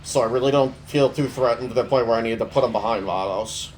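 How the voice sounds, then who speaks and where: A man's voice: medium pitch, quick pace, a little hiss or hum. Stuffy-nosed man commenting on gameplay. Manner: relaxed, offhand, thinking aloud. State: stuffy from a cold. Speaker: mobile game player.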